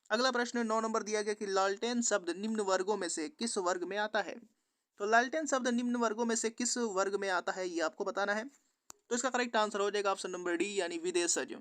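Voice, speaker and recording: A man's voice: sits high, fairly bright tone, dry recording, studio quality. A man teaching an exam-prep lesson, reading out quiz questions and answers in a repetitive, instructional way.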